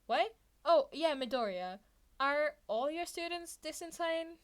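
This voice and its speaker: Casting: female reader doing an excitable, baffled man, a hero, high-pitched and exclamatory, in a fan fiction reading. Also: a little slow. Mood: startled, incredulous. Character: loud, excitable adult male hero, baffled.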